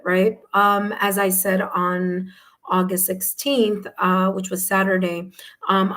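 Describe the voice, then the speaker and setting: Woman, mid pitch, slightly hoarse voice, casual live-stream host who keeps checking in with viewers. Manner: chatty, repeats herself, tags sentences with "okay?". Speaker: tarot reader hosting a live stream.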